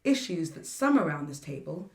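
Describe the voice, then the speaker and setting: Lady minister, lowish voice, proud and cutting, speechy, echoey radio play. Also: sing-song, moderate pace, creaky, far from the mic, full character voice. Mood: indignant, formal. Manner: formal, oratorical, biting. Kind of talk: satirical radio play acting, formal speechmaking.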